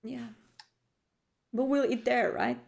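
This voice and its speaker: A woman's voice: a little high, expressive, slow, creaky, darkish tone. A woman playing a tabletop roleplay game, casually describing her character's actions and hesitantly thinking aloud.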